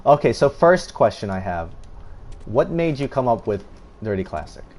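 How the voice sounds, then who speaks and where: A man's voice: medium pitch, very animated, moderate pace, a little mellow. A man hosting a casual interview and teasing his guest with jokes. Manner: joking, teasing. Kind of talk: casual interview over gameplay.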